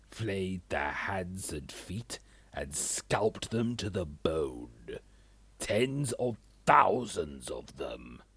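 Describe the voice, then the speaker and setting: An actor playing a grim, secretive pastor who speaks slowly and solemnly, dropping into whispers, in a fan audio drama. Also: male voice, deep voice, gravelly, fairly bright tone, husky, no room sound, heavily acted. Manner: slow, solemn, drops to whispers. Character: grim older male pastor, stern and secretive.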